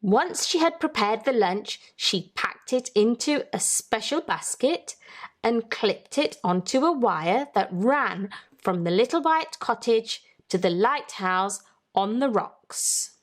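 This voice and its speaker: Female storybook reader, higher-pitched voice, very unhurried and teacherly, light music in the mix. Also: animated. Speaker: children's story-time host and reader. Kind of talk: read-aloud storybook narration with questions to young listeners.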